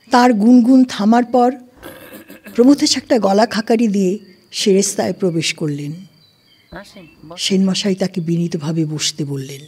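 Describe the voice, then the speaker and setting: A woman reads a literary audio story in an unhurried way. Her voice is breathy and husky at a middle pitch, with measured, formal phrasing. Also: darkish tone. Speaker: narrator. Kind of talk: scripted literary story narration.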